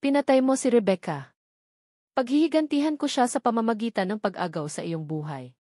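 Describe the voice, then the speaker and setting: A woman's voice: a little high, animated, fairly quick, darkish tone, dry recording, studio quality. A woman reading the part of an enraged, grieving husband and general who wants revenge, in an accusing manner. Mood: vengeful anger. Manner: vengeful, accusing.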